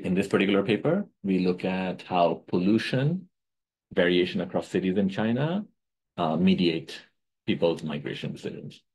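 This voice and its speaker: A man's voice: low-pitched, darkish tone, breathy edge, low-quality recording. A middle-aged man from Bangladesh giving an academic talk over a video call, lecturing casually with many "right?" checks and self-corrections. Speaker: economics researcher giving a webinar talk.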